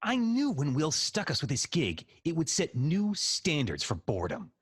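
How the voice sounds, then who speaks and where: A man's voice: fairly high pitch, huge pitch swings, normal speed, slightly rough, no room sound, clean studio sound, exaggerated voice. A man playing a grumbling, cocky young security guard in an audio drama, sardonic in manner. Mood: griping. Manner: sardonic, griping.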